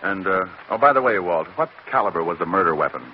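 An actor playing a shrewd, wisecracking private detective in an old radio drama, wry, needling and casual. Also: male voice, sits low, lively intonation, fast talker. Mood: probing. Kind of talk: 1940s-50s radio detective acting and narration. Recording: muffled, noisy old radio recording.

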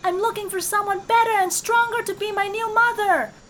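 A woman voicing a spoilt little boy kitten in a storybook, calling out in a shrill, strained, pleading way. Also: big pitch swings, takes it slowly, pushed and bright, slight background noise, full character voice. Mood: eager.

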